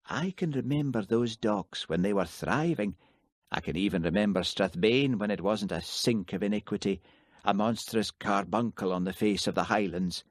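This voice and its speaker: A man's voice: on the low side, normal speed, dry recording, clean studio sound, close to an everyday voice. A man reading aloud as an older male professor who rambles and grumbles reluctantly. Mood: hesitant.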